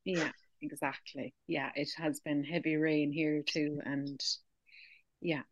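A woman's voice: low voice, level tone, slow, no room sound, lo-fi sound. A woman hosting a podcast, chatting in a friendly, supportive way with her guest. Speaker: podcast host. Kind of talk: casual interview chat.

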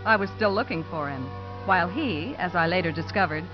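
A woman's voice: lowish voice, expressive, fairly quick, natural voice. An actress playing a composed young woman who narrates her story in a 1950s radio drama. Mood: reflective, composed. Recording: dull 1950s radio recording. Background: music.

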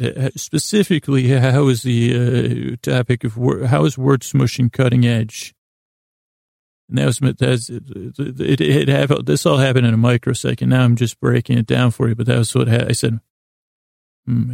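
A man hosting a sleep podcast in a creaky voice, meandering haltingly in lulling, soothing tones, repeating himself and trailing off. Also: mid-range voice, level tone, a little mellow, no echo, studio quality. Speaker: podcast host. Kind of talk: rambling sleep-podcast monologue.

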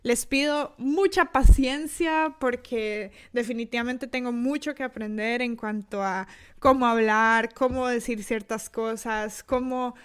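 A woman's voice: a little high, a little slow, clean tone. A young adult woman with a Costa Rican accent, hosting her own podcast in a reflective, self-deprecating solo talk with many fillers and restarts. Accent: Costa Rican Spanish. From Costa Rica. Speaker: podcast host. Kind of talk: casual solo monologue.

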